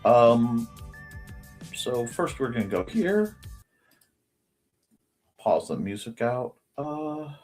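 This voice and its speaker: A man's voice: medium pitch, big pitch swings, extremely slow, long pauses, music in the background. A man hosting a casual drawing livestream, muttering and thinking aloud in fragments, with a slight speech impediment. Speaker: livestream host and artist.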